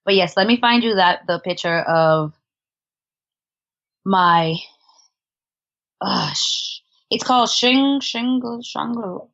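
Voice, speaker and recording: A woman's voice: mid-range voice, takes it slowly, pauses often, a bit bright. Casual woman rambling through travel stories, laughs a lot, kinda muffled lo-fi audio. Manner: chatty, laughs often, many fillers and restarts. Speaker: interview guest, traveler. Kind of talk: casual conversational storytelling.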